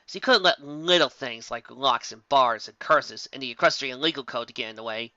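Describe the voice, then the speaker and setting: A narrator giving an expressive storytelling reading of fan fiction. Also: mid-range voice, normal speed, no room sound. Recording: dull, muffled home recording.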